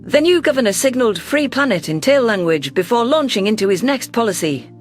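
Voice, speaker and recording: A man narrating a science fiction story in the first person, in an expressive, theatrical manner. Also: very high voice, a little fast, soft background music.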